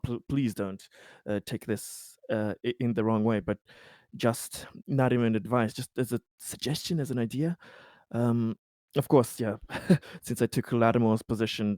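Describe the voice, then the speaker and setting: A man playing a tabletop roleplaying game in a hesitant, halting way, often trailing off as he explains things. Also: medium pitch, darkish tone, dry recording, studio quality.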